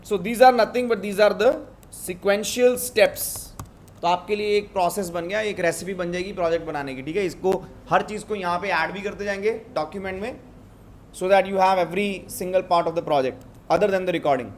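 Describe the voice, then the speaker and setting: High-voiced Indian man teaching, unhurried. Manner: switches between Hindi and English; instructive, often checks understanding with tag questions. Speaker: programming instructor. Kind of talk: step-by-step live coding lesson.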